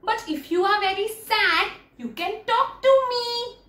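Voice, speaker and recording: A woman voicing a kind, consoling chicken in a children's story, with clucks and gentle sympathy. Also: very high-pitched, a little slow, voice pushed up, heavily acted. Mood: sympathetic, consoling. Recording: roomy, distant mic with music.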